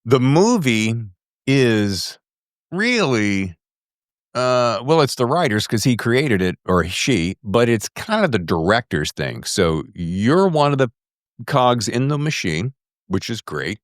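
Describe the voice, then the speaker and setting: A man's voice: medium pitch, big pitch swings, slow pace, dry recording, studio quality. A man hosting a podcast, interviewing in a casual, conversational and emphatic way, acting out little imagined quotes.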